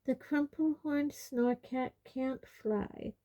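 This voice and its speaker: A woman voicing a serene, dreamy, dignified teenage witch girl in a storybook, calm and unruffled. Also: high-pitched, takes it slowly, muffled recording, pitched above the performer's own voice.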